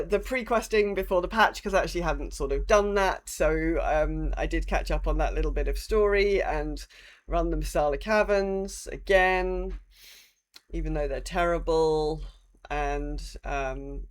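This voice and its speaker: Female gaming podcast co-host, average pitch, informal, hesitant phrasing with loose swearing. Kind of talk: casual gaming chat.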